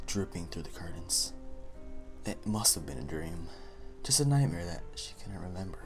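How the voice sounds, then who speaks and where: Deep low guy reading spooky fanfic, slow and measured, faint music behind. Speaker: story reader.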